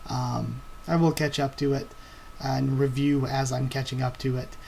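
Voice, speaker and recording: A young man reacting live to a new manga chapter, excitable and thinking aloud with stammering restarts. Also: mid-range voice, normal speed, creaky, slight background noise.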